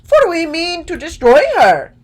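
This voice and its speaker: A woman's voice: very high voice, sing-song, unhurried, pitched above the performer's own voice, heavily acted. A woman voicing a brave, confident scarecrow from a children's storybook, speaking emphatically. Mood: bold resolve.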